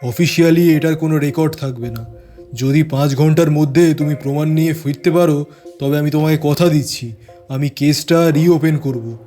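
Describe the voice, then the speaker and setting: A man plays a stern, shrewd police inspector with a very mellow, dark-toned voice pitched a little high. He speaks with measured authority in a crime audio drama, over faint music. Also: fairly even intonation, close to an everyday voice. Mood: stern warning. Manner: authoritative, measured, some English phrases. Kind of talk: audio story acting.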